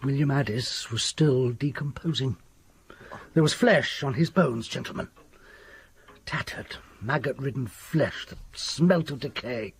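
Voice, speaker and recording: Haunted country doctor, English accent, heavily husky, breathy, deliberate, dramatic hushes, radio play. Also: male voice, mid-range voice. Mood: macabre, hushed. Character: haunted country doctor confessing grave robbing, sardonic, fearful. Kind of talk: British radio drama acting.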